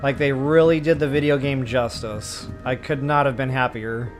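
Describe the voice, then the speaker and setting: A man's voice: medium pitch, fairly even intonation, normal speed. A man streaming a video game, giving casual, chatty and rambling gameplay commentary. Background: game music.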